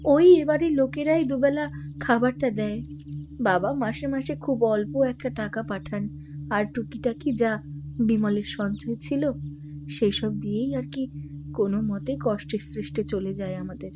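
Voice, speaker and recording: A woman's voice: on the high side, measured pace, background noise, close to an everyday voice. A woman playing a meek, grieving young widowed mother in an audio detective drama, speaking in a subdued, plaintive, hesitant way. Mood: sorrowful, resigned.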